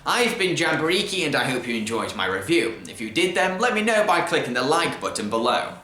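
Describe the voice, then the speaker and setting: A man narrating a scripted video film review in an enthusiastic, expressive manner. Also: a little high, a little fast. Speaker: film reviewer and show host. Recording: roomy, echoey voice track.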